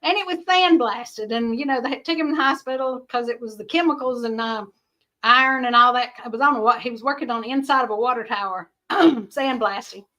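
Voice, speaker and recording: Middle-aged woman vlogger, high bright voice, rambling homespun chat with frequent laughs. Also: a little fast, rough recording. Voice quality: high-pitched. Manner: rambling, chatty, laughs often. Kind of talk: casual chat to viewers.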